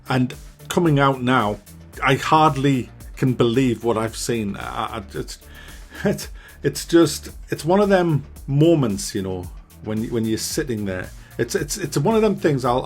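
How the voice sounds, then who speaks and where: A man rants through a film review on camera, sarcastic and heavy on swearing, often tripping over his own words. His voice sits in an ordinary middle pitch, and faint music plays behind him. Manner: sarcastic, swears a lot, stammering restarts. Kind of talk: ranting video review.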